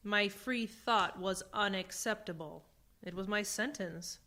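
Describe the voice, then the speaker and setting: A woman playing an earnest, bewildered exile in a science fiction radio drama, guarded and halting. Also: medium pitch, bright, forceful tone. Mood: confused, defensive.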